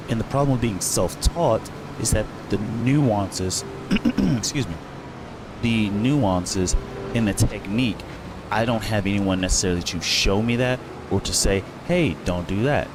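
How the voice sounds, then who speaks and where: A man's voice: fairly low pitch, normal speed, background noise. A young man chatting casually while streaming a farming game, rambling with lots of fillers and self-corrections. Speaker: gaming streamer.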